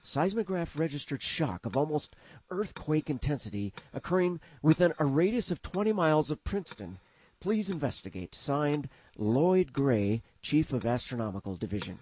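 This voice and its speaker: A man playing an excitable, courteous 1930s radio news reporter with formal announcer diction that gets flustered. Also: fairly high pitch, moderate pace. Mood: formal, composed. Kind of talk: 1930s radio drama acting.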